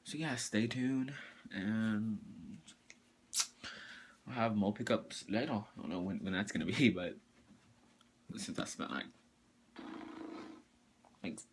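A man's voice: fairly low pitch, slight background noise. Rambling teenage guy showing off clothes. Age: teens. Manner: rambling, halting, many fillers and restarts. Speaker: sneaker vlogger. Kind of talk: casual haul video. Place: bedroom, indoors. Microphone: camera or phone mic up close.

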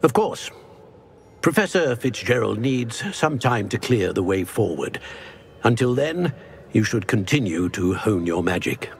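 An actor playing a stern old wizard portrait, speaking in a measured, formal way over soft game music. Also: male voice, medium pitch, animated, a little hiss or hum. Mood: grave. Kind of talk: video game dialogue. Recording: game audio with soft score.

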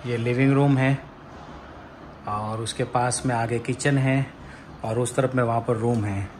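A man's voice: mid-range voice, fairly even intonation, measured pace, a little mellow, a little hiss or hum. A man in his thirties from India, chatting casually as he guides viewers through his apartment on a handheld phone. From India, raised in Rajasthan. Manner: chatty, guiding viewers room by room. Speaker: vlogger. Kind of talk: casual house tour vlog. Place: apartment, indoors.

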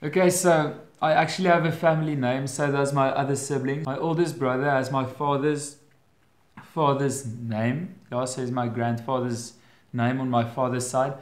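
A young man in his twenties speaks with an Afrikaans accent, chatting casually to a webcam at his desk. He talks hesitantly, often restarting his phrases. His mid-pitched voice bounces around an echoey room. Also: fairly even intonation, moderate pace. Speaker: vlogger. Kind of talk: casual Q&A vlog. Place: bedroom at home, indoors.

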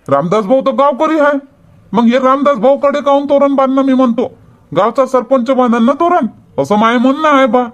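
High-voiced folksy village man , acted by a man. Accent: Varhadi Marathi. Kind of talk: homemade comedy cartoon dialogue.

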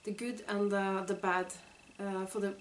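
A woman's voice: medium pitch, little pitch movement, unhurried, a little hiss or hum. A woman in her forties with a Norwegian accent, a knitting vlogger talking casually to the camera at home, hesitant and often searching for words. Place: living room at home.

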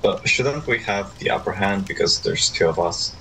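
A man's voice: low voice, animated, moderate pace, bright, forceful tone, a little hiss or hum, rough recording. A tired man playing a game with a friend for the first time, hesitant and stammering as he asks questions. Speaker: co-op partner, new to the game. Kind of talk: casual gaming chat.

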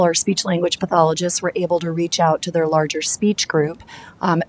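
A woman with a scratchy, hoarse voice from the flu, giving a polite, formal presentation to a school board in a large meeting room. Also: lowish voice. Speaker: district staff member presenting to a school board.

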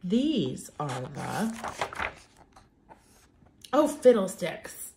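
A woman's voice: lowish voice, big pitch swings, very slow, gravelly, background noise. A young woman teacher reading aloud for young children in an emphatic, theatrical, sing-song way.

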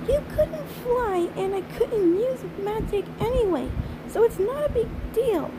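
A woman's voice: extremely high pitch, lively intonation, measured pace, background noise. A woman voicing a bossy, dismissive little unicorn filly in an amateur cartoon dub.

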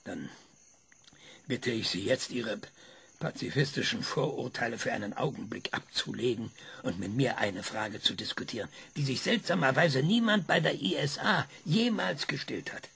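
An actor playing a sardonic former researcher in a radio play, lecturing with impatient insistence. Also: male voice, mid-range voice, expressive, moderate pace, gravelly, fairly bright tone, a little hiss or hum. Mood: insistent. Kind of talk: German radio play acting.

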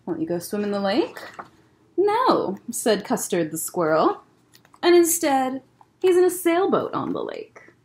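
A young woman reads picture books aloud for children. Her voice sits a little high and swings widely in pitch. She is expressive and breaks off for casual asides about the pages. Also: unhurried. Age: young adult. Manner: expressive, child-directed storytelling. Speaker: children's librarian, story time host. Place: library room lined with bookshelves, indoors.

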